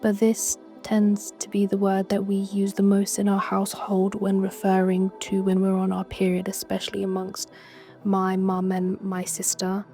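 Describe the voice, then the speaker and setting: A woman giving a measured, matter-of-fact personal testimony. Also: mid-range voice, completely flat delivery, warm tone, faint music behind.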